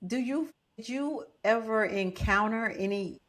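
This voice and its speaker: A woman's voice: fairly high pitch, measured pace, fairly bright tone. A woman hosting a podcast interview, asking questions in a casual tone and often affirming her guest. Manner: affirming listener, frequent "yeah" and "mm-hmm". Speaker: podcast host, social worker.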